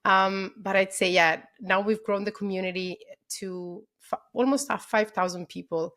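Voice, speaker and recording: An Italian woman in her thirties speaks as a guest on a podcast recorded over a video call. Her Italian accent is clear, and her talk is casual and enthusiastic, full of "um" and "like" as she goes. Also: medium pitch, steady tone, moderate pace, a bit bright. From Italy. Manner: enthusiastic, many fillers like "um" and "like". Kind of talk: casual interview conversation.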